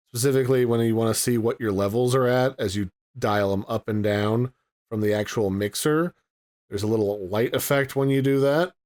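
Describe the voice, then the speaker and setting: A man's voice: medium pitch, darkish tone. A man giving a casual, chatty product review and walkthrough, explaining things in a folksy way. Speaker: tech reviewer.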